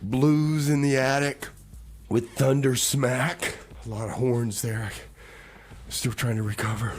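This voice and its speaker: Guy hosting a radio show, medium pitch, exasperated and folksy, lots of exclaiming. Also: level tone, measured pace. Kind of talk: live comedy radio banter and music announcing.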